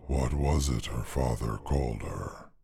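An aged stone gargoyle from a storybook, murmuring in a very husky, dark voice. He is weary and gentle, speaking in halting, wistful fragments as if his throat were turning to rock. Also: expressive, exaggerated voice. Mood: musing. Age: older. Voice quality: husky, gravelly low growl.

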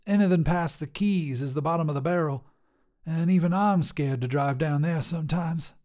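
A man's voice: on the high side, moderate pace, a little mellow, voice pushed up, natural voice. A man voicing a wiry, mocking woman trucker who turns out to be a demon, with a drawling, teasing, folksy manner. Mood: sly teasing.

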